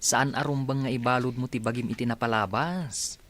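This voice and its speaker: A man's voice: mid-range voice, steady tone, normal speed, a bit bright, lightly acted. A man with an Ilocano accent playing a kind, supportive young village friend in a radio drama. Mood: sympathetic.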